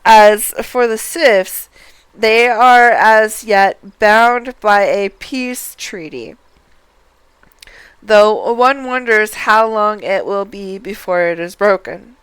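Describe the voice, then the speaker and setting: A woman reads video game dialogue for a livestream. Her voice is exceptionally clear and mid-pitched, with a slow, deliberate delivery. The sound is dry. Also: fairly bright tone, no echo, rough recording. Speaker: game streamer.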